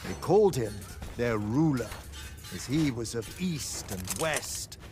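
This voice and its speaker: An actor playing a solemn, declamatory stage orator reciting an epic war history in a game. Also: male voice, mid-range voice, animated, a little slow, darkish tone, soft background music, lightly acted. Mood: solemn, grandiose. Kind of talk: theatrical recitation.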